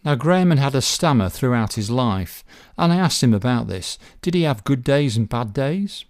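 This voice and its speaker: Man, radio host, mid-pitched voice, casual questions, short interjections. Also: lively intonation, moderate pace, darkish tone, reverberant. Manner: brief encouraging interjections, conversational questions. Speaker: radio presenter.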